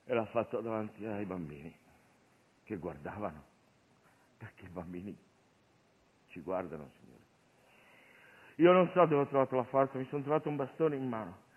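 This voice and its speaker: A man's voice: lowish voice, animated, slightly rough. Man acting a broken peasant drinker, thin radio sound. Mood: weary, anguished. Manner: halting, confessional. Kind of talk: theatrical monologue storytelling. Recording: phone-like radio broadcast of stage show.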